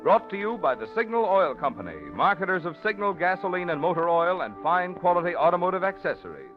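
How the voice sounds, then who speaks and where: A man's voice: sits high, big pitch swings, fast talker. A male radio announcer reading sponsor messages and credits in a polished, persuasive 1940s style. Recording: dull old radio recording with music.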